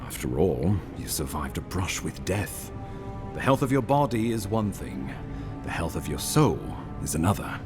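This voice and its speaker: Low-voiced, commanding imperial official. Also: male voice, very animated, music in the background. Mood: measured, cautioning. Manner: formal, deliberate, authoritative. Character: grave, commanding imperial official briefing an envoy. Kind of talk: video game dialogue, formal and measured.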